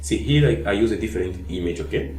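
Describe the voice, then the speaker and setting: Low, mellow man teaching code. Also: animated, fast talker. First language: Portuguese. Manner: narrates each step, frequent "okay?" check-ins and "uh" fillers. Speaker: coding tutor. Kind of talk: screen-recorded coding tutorial. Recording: echoey room with faint hiss.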